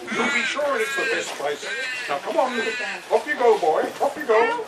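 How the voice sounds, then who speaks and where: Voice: mid-range voice, big pitch swings, moderate pace, over a noisy crowd, close to an everyday voice. A performer voicing a sly, hearty old pedlar in a children's puppet show, cajoling the audience. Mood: persuasive. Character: sly old pedlar man with magic beans, jolly and coaxing. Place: outdoor garden puppet booth. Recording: outdoor far camera, noisy background.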